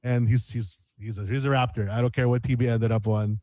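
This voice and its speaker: A man chatting casually as an interview guest, telling stories in an animated way and repeating phrases as he goes. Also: medium pitch, fairly quick, no room sound. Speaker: guest musician.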